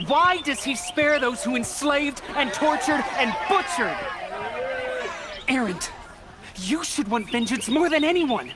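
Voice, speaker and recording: High-voiced rabble-rouser over a roaring crowd. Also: male voice, heavily acted. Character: fiery male rebel agitator demanding vengeance. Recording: game scene with loud crowd and noise.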